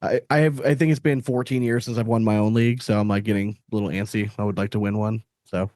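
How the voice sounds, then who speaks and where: A man's voice: lowish voice, steady tone, quick pace, darkish tone, no echo, clean studio sound. A man in his thirties hosting a podcast with casual, slangy sports banter, full of swearing and "like". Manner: profane, slangy, filler-heavy "like" and "you know what I mean". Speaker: podcast host.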